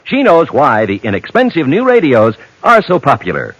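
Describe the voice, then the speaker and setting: A man's voice: mid-range voice, wildly swooping pitch, moderate pace, no room sound. An American man working as a 1950s radio announcer, reading a script with polished, emphatic, dramatic phrasing. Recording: muffled old radio broadcast, loud music.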